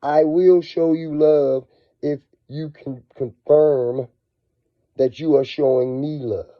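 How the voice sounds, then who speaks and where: A man with a cold, his voice scratchy and raspy, talking casually as a finance vlogger. He swings between low murmurs and excited bursts. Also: slow, no echo. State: hoarse from a cold. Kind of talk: casual video monologue. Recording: dull, lo-fi sound.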